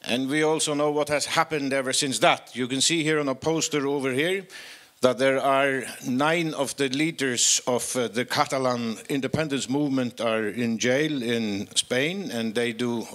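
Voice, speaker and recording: A man hosting an event, giving an informal spoken introduction in a hesitant, measured way. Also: medium pitch, steady tone, fairly bright tone. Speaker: event host introducing a speaker.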